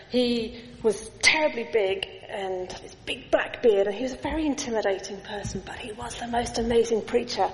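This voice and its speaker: A middle-aged woman preaching a sermon in a church, measured, with slow emphatic lists. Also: a little high. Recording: echoey church hall.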